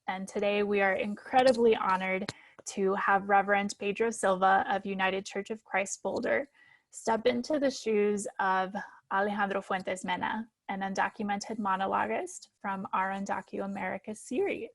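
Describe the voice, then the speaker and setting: A woman's voice: medium pitch, moderate pace, vocal fry, no echo. A woman speaking as host and moderator, giving a formal introduction to an event.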